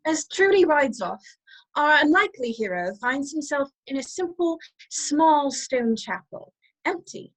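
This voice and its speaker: Radio play narrator, female actor: high-pitched storyteller delivering scripted scene-setting. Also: lively intonation, unhurried, rough recording. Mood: narrating.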